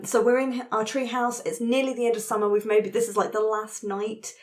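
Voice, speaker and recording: A woman's voice: fairly high pitch, flat delivery, fairly quick. A woman explaining game rules conversationally as facilitator, checking in with her group of players.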